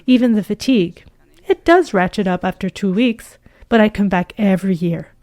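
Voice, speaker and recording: A woman from Ukraine, a harvest worker, speaking interview answers as a voice-over in a steady, matter-of-fact way. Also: mid-range voice, moderate pace. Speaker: harvest worker at the pressing center.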